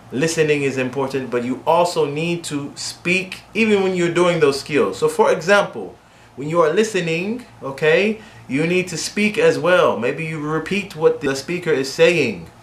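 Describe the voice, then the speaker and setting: A man's voice: on the high side, unhurried. A man teaching English to learners, speaking directly and repeating key points with frequent "okay?" checks. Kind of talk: instructional video lesson. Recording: echoey room with faint ambient noise.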